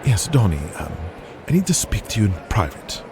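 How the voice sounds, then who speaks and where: A man voicing a polite, smooth-talking British wrestling office aide in an audio drama, formal and reassuring. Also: on the low side, sing-song, a little fast. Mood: coaxing. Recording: noticeable background noise.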